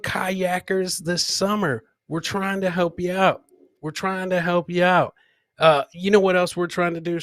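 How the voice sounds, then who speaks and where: A man's voice: a little high, a bit bright, no room sound, clean studio sound. A man hosting a comedy podcast with casual, jokey live commentary, often repeating phrases for effect. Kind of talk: casual comic live-stream commentary, reading chat comments aloud.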